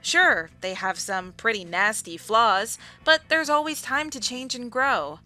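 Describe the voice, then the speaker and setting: A woman's voice: on the high side, lively intonation, a little slow, pushed and bright, faint music behind. A woman reading scripted narration for a visual novel style video game dub.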